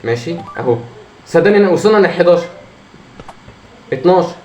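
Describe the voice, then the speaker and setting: A hoarse man with an Egyptian Arabic accent, commenting on his own gameplay, muttering encouragement to himself and breaking into frustrated outbursts. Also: high-pitched, expressive, fast, warm tone. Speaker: gamer. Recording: echoey room with faint noise.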